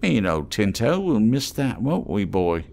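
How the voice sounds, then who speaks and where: A man voicing an eccentric old tramp trader in an audiobook, wheedling in excitable, salty, rambling patter. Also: fairly low pitch, measured pace, gravelly, slight background noise, voice pushed up, full character voice. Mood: teasing, chummy.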